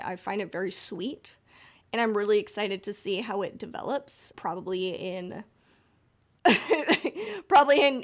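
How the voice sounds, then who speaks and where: A woman co-hosting a podcast, talking casually and analytically, thinking aloud with frequent asides. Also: mid-range voice. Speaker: podcast co-host. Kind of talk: casual podcast discussion, analysis of a fiction story.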